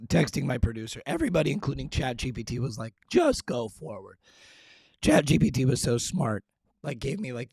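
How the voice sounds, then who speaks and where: Man, hoarse raspy voice, gravelly, slow, dry close sound, casual live-stream host. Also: no room sound. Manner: talking low and hushed to spare his voice; self-deprecating, frequent throat clearing. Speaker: show host.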